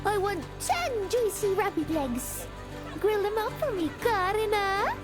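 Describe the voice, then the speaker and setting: A woman voicing a cheerful, boastful young wolf-girl huntress in a visual novel, childlike, playful and excitable. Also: very high-pitched, unhurried, over music, exaggerated voice. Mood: playful, eager. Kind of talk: animated visual novel voice acting.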